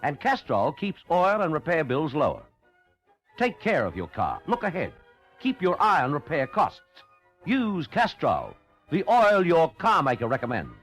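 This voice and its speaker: Male commercial announcer, highly animated pitch, slightly high voice, vintage radio ad. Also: a little slow, breathy edge, soft background music. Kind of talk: 1960s radio advertisement, scripted persuasive read.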